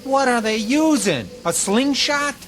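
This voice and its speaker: An actor playing an uneasy young soldier who speaks in a wry, lively way. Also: male voice, very high-pitched, measured pace, full character voice. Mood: mocking. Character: anxious young male infantry soldier. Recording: old TV soundtrack with music.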